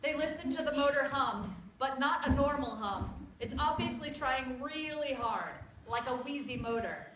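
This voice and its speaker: A woman reading scripted story narration aloud in an expressive, theatrical way. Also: high-pitched, moderate pace, few pauses. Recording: echoey room with clear background noise.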